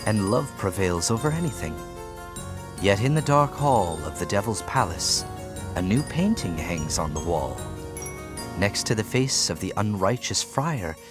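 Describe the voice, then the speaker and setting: A man narrating an audiobook as a theatrical storyteller, solemn and dramatic. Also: lowish voice. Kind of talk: theatrical scripted storytelling in rhyme. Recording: music behind the voice.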